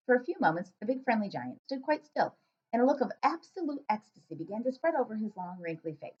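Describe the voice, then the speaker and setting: A woman reads a children's story aloud like a schoolteacher, with hugely varied intonation. Her voice is husky, and the recording sounds somewhat dull. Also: a little high, very animated, fast. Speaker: teacher reading to pupils. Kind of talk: expressive storybook narration.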